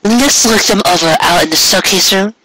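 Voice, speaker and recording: Man putting on a high, coy young mare. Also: normal speed, pushed and bright, slight background noise, low-quality recording. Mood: coy, teasing. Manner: airy, affected, teasing.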